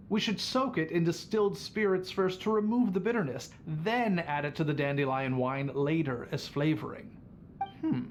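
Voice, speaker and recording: Polite young anime game bartender, high lively voice, bit nervous, music under it. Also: male voice. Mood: thoughtful. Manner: courteous, hesitant, accommodating.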